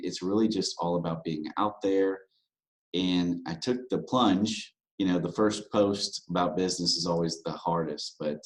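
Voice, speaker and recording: A man in his thirties from Louisiana speaks as a guest on a business podcast. His voice sits very low, and he talks in a relaxed, candid way, pausing often with fillers. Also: poor audio. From Louisiana, USA. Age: 30s. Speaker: guest, real estate broker. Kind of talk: podcast interview.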